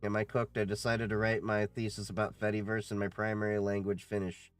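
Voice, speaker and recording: A man's voice: fairly low pitch, flat delivery, fairly quick, clean tone, faint music behind. A man hosting a casual livestream, reading chat questions aloud and giving opinionated answers.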